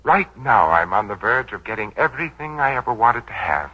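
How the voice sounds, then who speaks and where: An actor playing a suave, ruthless crime boss in a 1940s radio drama, speaking in a smooth, coolly condescending way. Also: male voice, lowish voice, big pitch swings, fairly quick. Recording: muffled vintage 1940s radio broadcast.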